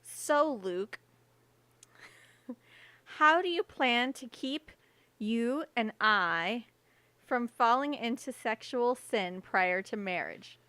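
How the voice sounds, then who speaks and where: A woman's voice: fairly high pitch, animated, takes it slowly, long pauses, bright, forceful tone, no room sound. A woman who produces and co-hosts a podcast, speaking conversationally to listeners and her co-host. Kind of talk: casual chat and listener announcements.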